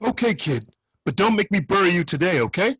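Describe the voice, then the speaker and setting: A man playing a gruff, protective bounty cop in a screenplay table read, husky and cautioning in a familiar way. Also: sits high, sing-song, a little fast, a bit bright, no room sound, full character voice. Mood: wry, protective. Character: Red, gruff protective male veteran bounty cop partner.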